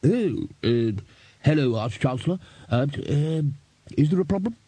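A man's voice: on the high side, expressive, a little slow, warm tone, slightly rough, dry recording, voice pushed up. A man voicing a hesitant, befuddled wizard lecturer who stammers evasively, in an audiobook. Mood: dazed. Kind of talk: audiobook character voice.

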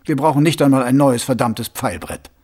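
A man voicing a blunt, gruff male commander of a city watch who speaks curtly and plainly. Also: mid-range voice, moderate pace, darkish tone, lightly acted. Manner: gruff and curt.

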